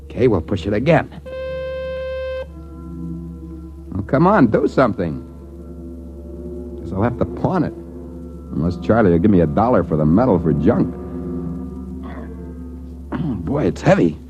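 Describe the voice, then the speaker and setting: A man's voice: lowish voice, sing-song, normal speed, pauses often. An actor playing a broke man in a cheap hotel, narrating and talking with wry, flustered asides in a 1950s radio drama. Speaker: narrator and lead character. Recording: dull old radio recording with music.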